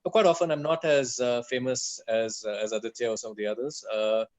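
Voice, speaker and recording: A man's voice: mid-range voice, steady tone, brisk. A man who grew up in India, a guest on an aviation talk, telling easygoing self-deprecating stories over a video call. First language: English. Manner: chatty, self-deprecating storyteller. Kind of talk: casual conversation on a video call. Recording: dull lo-fi call audio.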